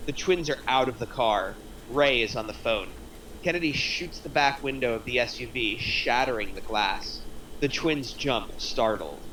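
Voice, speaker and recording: A man narrates stage action from a script at a table read. His voice is medium-pitched, a little away from the mic, with faint background noise. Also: normal speed, sharp tone, recorded from a distance, poor audio. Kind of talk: reading screenplay action lines aloud.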